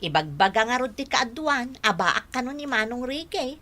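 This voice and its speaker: A woman's voice: fairly high pitch, expressive, normal speed, pushed and bright. A woman acting a giddy, girlish young woman swooning over her crush in a radio drama. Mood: coy. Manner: gushing, girlish.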